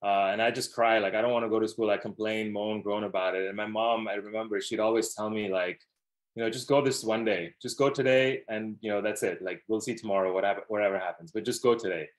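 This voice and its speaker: A man's voice: lowish voice, fairly even intonation, fast, poor audio. A man in his thirties from Dubai, a podcast guest telling his life story in a loose, rambling way with many fillers. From Dubai, Middle East. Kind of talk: casual storytelling interview.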